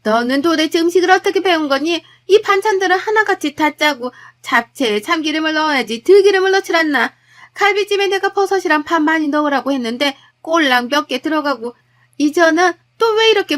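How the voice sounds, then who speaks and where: A woman voicing a harsh, scornful older mother-in-law who scolds without pause. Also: fairly bright tone. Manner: nagging, scornful, shrill.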